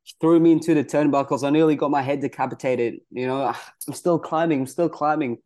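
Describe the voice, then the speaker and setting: Earnest young wrestler from Malaysia. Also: male voice, fairly high pitch, steady tone, fairly quick, a little mellow. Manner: earnest, proud, many "you know" fillers. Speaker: pro wrestler guest. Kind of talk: casual interview answers.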